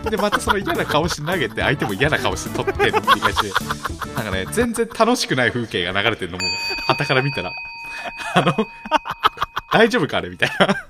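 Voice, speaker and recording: A man's voice: medium pitch, fast talker. A man co-hosting a radio show, casually telling an anecdote and acting out the dialogue in it. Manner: animated, acts out quoted dialogue. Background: background music.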